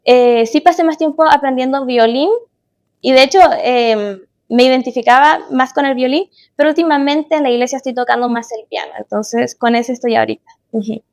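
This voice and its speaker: A woman's voice: high-pitched, fairly even intonation, fairly quick, darkish tone. A woman in her late twenties with a Chilean accent, chatting casually as a podcast guest about her own life. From Chile, born in Guatemala. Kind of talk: casual interview chat, personal reminiscence.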